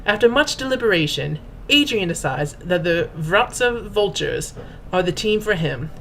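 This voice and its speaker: A woman's voice: on the low side, expressive, normal speed, a bit bright, a little hiss or hum. Pompous woman giving a theatrical reading. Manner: pompous, mock-grand, formal delivery. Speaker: host and reader. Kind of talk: theatrical dramatic reading of fan fiction.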